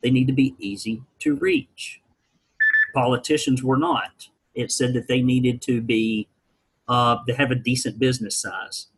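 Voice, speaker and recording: Husky guy, folksy and wry, telling his story to an interviewer. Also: mid-range voice, lively intonation, unhurried, rough recording. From rural Alabama. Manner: wry, folksy, blunt humor. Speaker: guest, business founder.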